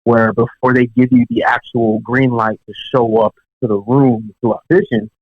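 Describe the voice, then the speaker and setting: A man's voice: mid-range voice, normal speed, dry recording. Chatty young man telling stories. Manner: relaxed, chatty, many fillers and asides, chuckles while telling stories. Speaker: professional touring drummer, podcast guest. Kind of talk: casual storytelling in an interview. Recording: dull, dry lo-fi podcast audio.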